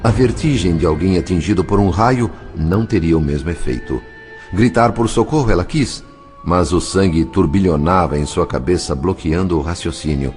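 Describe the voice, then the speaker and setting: Low-pitched Brazilian man narrating drama. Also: normal speed, darkish tone, faint music behind. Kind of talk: dramatic scripted audiobook narration.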